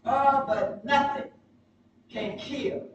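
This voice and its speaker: A woman's voice: sits high, dull audio. Woman preacher in a very echoey space. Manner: emphatic, dramatic oratory with deliberate pauses. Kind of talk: sermon. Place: church sanctuary during a live service. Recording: very echoey, distant mic, noisy church livestream.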